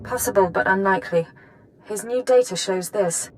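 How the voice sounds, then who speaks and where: Woman acting an intelligence analyst, brisk, formal, precise, medium pitch, video game drama. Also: darkish tone, slight background noise, lightly acted, rough recording. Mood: composed, serious. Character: brisk, composed female intelligence analyst handler.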